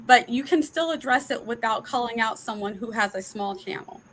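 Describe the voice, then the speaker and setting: A woman's voice: on the high side, moderate pace, fairly bright tone, rough recording. A woman hosting a casual online chat, talking emphatically with restarts and frequent laughs, on a video call. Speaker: host.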